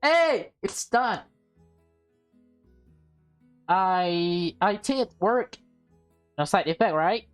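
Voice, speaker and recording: Woman voicing a halting, nervous boy posing as maid. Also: mid-range voice, lively intonation, faint music behind. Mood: anxious, relieved. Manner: halting, stammering, overly formal when covering up. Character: nervous teenage boy disguised as a polite maid. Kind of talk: visual novel dialogue read in character.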